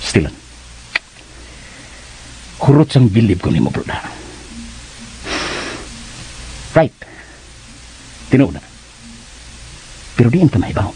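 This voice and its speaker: A man's voice: mid-range voice, very animated, dark tone, a little hiss or hum, poor audio. An actor playing a breezy, teasing, dismissive buddy in a radio drama, drawing out his words with English slang and a Filipino accent. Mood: evasive. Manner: drawn-out, mixes in English slang.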